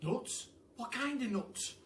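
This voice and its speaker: A man voicing an excitable, incredulous monkey in a storybook, screechy and repetitive. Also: very high-pitched, slow pace, roomy sound, far from the mic, pitched above the performer's own voice, heavily acted. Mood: incredulous, excited.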